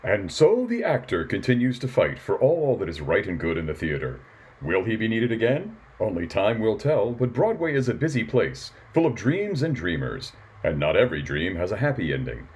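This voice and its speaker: A grandiose old-time radio serial announcer. His voice is fairly low and husky, with huge, dramatic swings of pitch. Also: sing-song, normal speed, a little mellow. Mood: grand, portentous.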